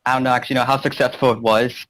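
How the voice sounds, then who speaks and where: Mid-pitched man reading fan fiction casually. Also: level tone, very fast, a bit bright. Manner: stumbles over words. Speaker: channel host and story narrator. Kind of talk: amateur fan fiction narration and casual chat.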